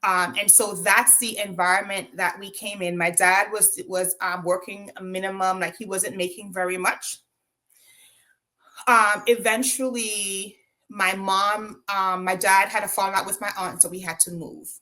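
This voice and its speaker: A woman's voice: medium pitch, steady tone, a little slow, bright, forceful tone. A woman from Jamaica with a Jamaican accent, telling her own story as an interview guest, reflective, with frequent ums and tag questions.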